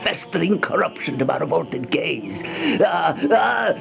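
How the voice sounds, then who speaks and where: An actor playing a terrified, feverish man in an old-time radio horror monologue, stammering, gasping and melodramatic, with a creaky voice. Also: on the high side, very animated, a little slow, fairly bright tone, soft background music, full character voice. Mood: horrified, frantic. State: panting, out of breath. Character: terrified, feverish man trapped with monstrous flies, horror narrator. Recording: dull, noisy old radio recording.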